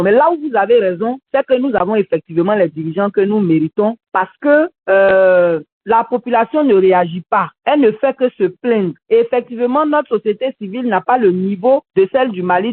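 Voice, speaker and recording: A woman with an Ivorian French accent hosting a civic radio show over a video call, speaking in an earnest, critical, measured way. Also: mid-range voice, lively intonation, dry recording. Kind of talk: commentary and answering callers. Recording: dry, tinny video-call audio.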